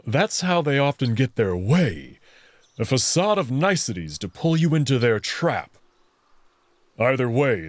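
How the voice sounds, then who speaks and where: Man voicing a proud, very animated wolf prince. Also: medium pitch, no room sound. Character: proud, confident young alien wolf prince, friendly and hubristic. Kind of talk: visual novel voice acting.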